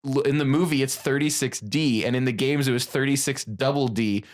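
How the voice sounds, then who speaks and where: Young male podcast co-host, wry, conversational, mid-pitched. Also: a little fast. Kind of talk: casual pop-culture chat.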